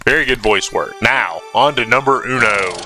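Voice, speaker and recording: A man's voice: mid-range voice, moderate pace, rough recording. A man narrating a scripted countdown video in a blunt, opinionated, casual way. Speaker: video host. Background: music under the voice-over.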